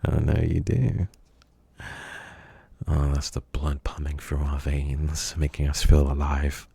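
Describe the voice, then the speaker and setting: A man as a very deep, smug kidnapper. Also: little pitch movement, creaky, dark tone, slightly rough, heavily acted. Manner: slow, teasing, drifting into whispers. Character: menacing, smug male kidnapper. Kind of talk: ASMR roleplay.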